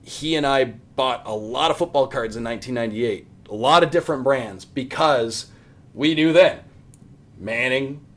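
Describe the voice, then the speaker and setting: A man's voice: medium pitch, normal speed, fairly bright tone. An American man in his thirties chatting casually and enthusiastically as a podcast guest about his hobby. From US Midwest. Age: 30s. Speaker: podcast guest, sports radio play-by-play announcer.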